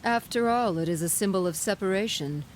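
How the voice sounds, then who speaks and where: A woman voicing a haughty, scornful goddess villain in a video game cutscene, speaking in a measured, condescending and theatrical way. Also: mid-range voice, slight background noise, close to an everyday voice. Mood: mocking disdain. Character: haughty, scornful goddess, coolly amused villain behind it all.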